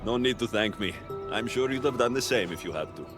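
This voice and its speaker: An actor playing a blunt, guarded mercenary hero with a dry, sardonic delivery in a video game cutscene. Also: male voice, mid-range voice, animated, a little fast, close to an everyday voice. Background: background music.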